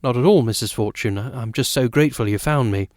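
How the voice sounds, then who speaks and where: A man's voice: mid-range voice, quick pace, a little mellow, no echo, clean studio sound, natural voice. A man playing a nervous, careful young man. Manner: hesitant, careful, stammering. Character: nervous young man hiding his origins.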